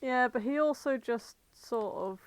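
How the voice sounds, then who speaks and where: A woman co-hosting a podcast, bantering casually and bluntly, swearing freely and quick to argue. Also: sits high, no echo. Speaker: podcast co-host. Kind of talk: casual banter about fandom.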